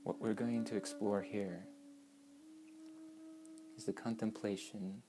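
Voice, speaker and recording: A woman leading a guided meditation in a measured, instructing manner, with long pauses between short phrases. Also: extremely low pitch, steady tone. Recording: voice over meditation music with flute.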